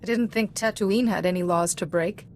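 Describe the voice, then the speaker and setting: An actress voices a composed female Jedi Master in a cinematic space-fantasy game. She speaks at a medium pitch with measured, formal phrasing and a reassuring, diplomatic manner. Some background noise sits under her voice. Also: lightly acted. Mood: calm, composed. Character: calm, composed young woman Jedi Master, diplomatic and supportive. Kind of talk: cinematic video game voice acting.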